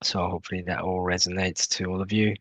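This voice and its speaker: A man with a British accent that has a twang, giving a webinar presentation with many pauses and restarts. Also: deep voice, level tone, a bit bright, no echo. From half French, half British. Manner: hesitant, many fillers and restarts. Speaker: guest presenter, energy company head.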